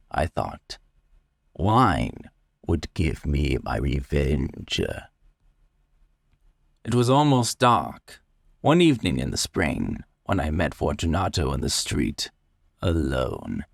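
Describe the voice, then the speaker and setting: A man narrating a literary tale in the first person, deliberate, theatrical and brooding. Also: low voice, gravelly, no echo, clean studio sound. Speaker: story narrator.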